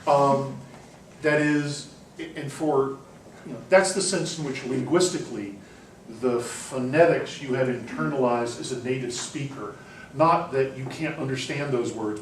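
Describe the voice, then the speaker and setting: A man's voice: medium pitch, unhurried. A man holds forth on ideas in front of a seminar class, thinking aloud, with ums and self-corrections. His voice sits in the middle range and carries faint room hiss. He is heard from a distance in a reverberant classroom. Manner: discursive, with frequent ums and restarts. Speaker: university lecturer. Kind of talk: classroom lecture. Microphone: far room recording. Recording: echoey classroom from far off.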